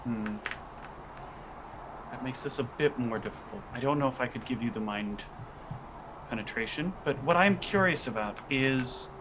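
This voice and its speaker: A man playing in a tabletop roleplaying game, improvising in a deliberate, businesslike way. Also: medium pitch, fairly bright tone, a little hiss or hum, poor audio.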